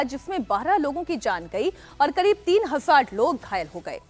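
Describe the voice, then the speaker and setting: A woman anchors television news in a high, swooping voice. Her delivery is dramatic and emphatic, pressing each point with urgency. Faint music plays underneath.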